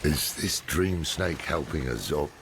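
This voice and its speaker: An actor playing a gruff, skeptical, weary warrior in a video game, with a gravelly voice. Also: male voice, rumbling low, animated, measured pace, background noise. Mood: wary doubt. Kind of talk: dramatic video game acting. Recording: loud game music behind.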